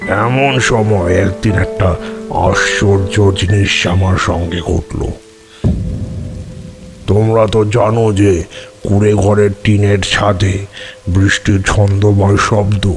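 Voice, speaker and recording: A man playing an old tantric who recounts eerie memories in a deliberate, measured way for an audio horror drama. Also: on the low side, darkish tone.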